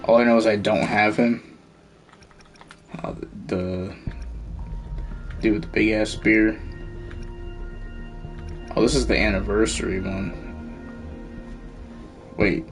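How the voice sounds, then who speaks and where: A man's voice: on the low side, fairly even intonation, slow pace, long pauses. A man giving casual live gameplay commentary, thinking aloud in an informal way. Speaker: game streamer. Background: faint game music.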